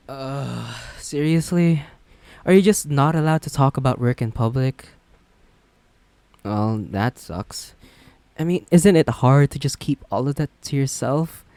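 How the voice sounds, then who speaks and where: A man's voice: medium pitch, dark tone, dry recording, natural voice. A man playing a smug, flirty businessman supervillain, chatty and sardonic, in a romantic roleplay audio drama. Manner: chatty, sardonic, self-assured.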